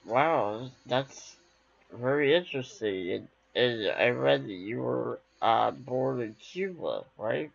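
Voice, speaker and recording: A man's voice: mid-range voice, lively intonation, slow, gravelly. A man interviewing a guest, asking hesitant questions full of fillers. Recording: slightly dull lo-fi mic.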